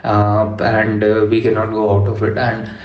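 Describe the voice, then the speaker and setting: A young man from Delhi, a rapper, answers interview questions in a low, steady voice with a flat melody. He pauses a lot, filling the gaps with "uh" and "you know". The sound is dull and lo-fi, with a roomy echo. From Delhi, India. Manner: measured, many fillers like "you know" and "uh". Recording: echoey room, dull lo-fi sound.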